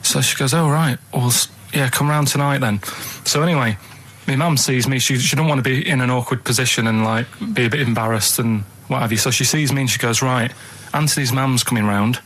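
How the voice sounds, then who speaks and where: A man's voice: mid-range voice, a little fast, few pauses, fairly bright tone, rough recording. Man rambling through radio anecdotes. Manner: colloquial, artless storytelling. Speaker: radio show co-host and storyteller. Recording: radio broadcast with clear background noise.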